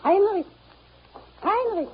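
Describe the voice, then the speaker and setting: A woman's voice: very high voice, expressive, slow, exaggerated voice. A woman playing the anxious wife of a German professor in a 1930s radio drama. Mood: alarmed. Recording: muffled, faintly noisy 1930s radio recording.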